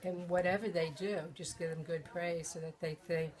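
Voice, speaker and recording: Flat, lowish-voiced woman interviewee. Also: moderate pace. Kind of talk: informal interview answer.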